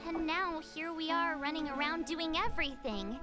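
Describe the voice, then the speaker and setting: A woman's voice: high-pitched, moderate pace, loud music behind the voice, natural voice. An actress playing a spirited, chatty teenage girl adventurer in an anime-style game, whining dramatically. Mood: exasperated. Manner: whiny, dramatic complaining.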